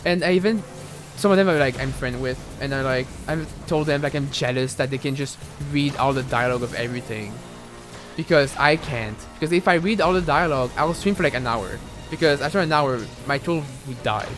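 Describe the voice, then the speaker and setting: A man's voice: medium pitch, a little fast, music in the background. A young man with a French accent, a native French speaker, chatting and rambling casually while streaming a game, with many fillers and restarts. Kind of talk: casual live gaming commentary.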